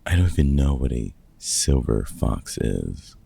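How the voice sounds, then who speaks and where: Casting: gruff older vampire hunter played by a man, fatherly and wry, rock-bottom pitch, heavily husky, for comfort roleplay audio. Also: sing-song, a little slow, dark tone, heavily acted. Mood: amused, teasing. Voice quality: very gravelly, husky. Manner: dry, teasing, hesitant with unfamiliar slang. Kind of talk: ASMR roleplay audio drama, one-sided conversation.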